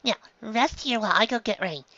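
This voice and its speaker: A woman's voice: fairly high pitch, lively intonation, quick pace, a bit bright, dry recording, dull audio, pitched above the performer's own voice. A woman voicing a small, caring but firm reindeer doctor in a story reading, speaking earnestly and emphatically. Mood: reassuring.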